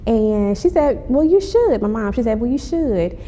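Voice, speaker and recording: A woman's voice: lively intonation, normal speed, mellow. A woman giving polite, conversational answers as an interview guest. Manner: polite, says ma'am to the interviewer. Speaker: interview guest, playwright and actress promoting her stage play. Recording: echoey hall, clear rumbling background noise.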